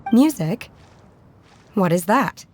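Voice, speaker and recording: A woman voicing an aloof, earnest young disciple in a video game, formal and measured, naive about people. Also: mid-range voice, animated, darkish tone. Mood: calm, matter-of-fact. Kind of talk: video game character acting.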